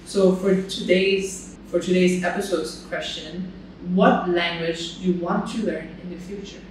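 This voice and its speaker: Man, co-host, bilingual Thai and English, relaxed and thoughtful, booming room echo, distant mic. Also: a little slow. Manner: relaxed, thoughtful, with small restarts. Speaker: podcast co-host and tutor. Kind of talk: casual podcast chat. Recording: echoey room, distant mic, some noise.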